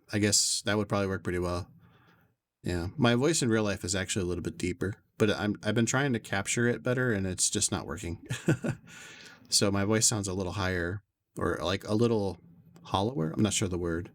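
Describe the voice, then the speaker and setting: Low-voiced man rambling to his stream. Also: no echo. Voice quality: upper bass to lower tenor range. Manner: rambling, talks to chat in long winding asides. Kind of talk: casual chat while streaming a game. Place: bedroom. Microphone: desk USB condenser mic with noise suppression.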